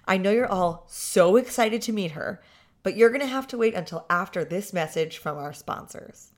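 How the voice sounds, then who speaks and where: A woman's voice: medium pitch, lively intonation, moderate pace. A woman hosting a podcast, narrating a story in a dry, sardonic way.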